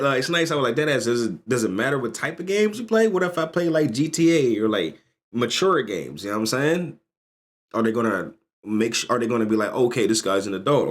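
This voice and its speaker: A man in his thirties with a fairly low voice, reacting live on stream. He rants in a loose, profane, animated way, shooting up into higher bursts as he goes. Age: 30s. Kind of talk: casual livestream reaction chat.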